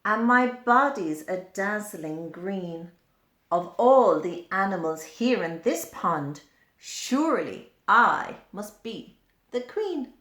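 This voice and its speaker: A woman's voice: medium pitch, very animated, takes it slowly, fairly bright tone. A woman voicing a proud, queenly dragonfly in a children's picture-book read-aloud, grand and boastful. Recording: roomy, echoing indoor sound.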